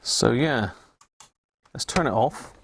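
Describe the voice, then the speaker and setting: A man with an English accent and a gravelly voice, hosting a hobby electronics live stream in a chatty, rambling way, thinking aloud as he works. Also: mid-range voice, animated, takes it slowly, a bit bright, dry recording. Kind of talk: casual live-stream chat while working at a bench.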